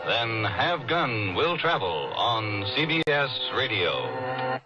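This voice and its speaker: A man announces in lively, old-time radio style, his pitch swooping up and down. Music plays behind him, and the broadcast sound is muffled. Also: takes it slowly. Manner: bright, lively ad delivery.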